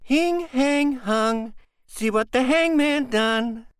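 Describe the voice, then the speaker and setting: A man's voice: extremely high pitch, very animated, slow pace, fairly bright tone, no echo, clean studio sound, voice pushed up, exaggerated voice. A man voicing a persistent four-year-old girl with a small piping voice. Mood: chanting.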